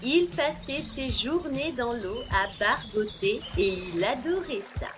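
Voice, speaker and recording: A woman reads a scripted fairy tale with a highish, swooping voice. She is expressive and takes her time for young listeners. Music plays clearly behind her. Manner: expressive, deliberate storytelling for small children. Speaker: children's storyteller.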